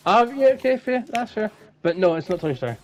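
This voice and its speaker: A man chats informally as a podcast co-host. His voice sits a little high and moves around a lot as he banters. Music plays faintly underneath. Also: sing-song, brisk. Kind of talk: casual chat, giving clues.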